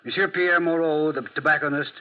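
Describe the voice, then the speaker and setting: A man's voice: a little high, fairly even intonation, close to an everyday voice. A man playing a composed detective who narrates formally and dryly in 1940s radio mystery style. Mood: dry, measured. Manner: measured, formal, dryly critical. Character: composed French detective recounting a murder case. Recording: muffled, band-limited 1940s radio recording.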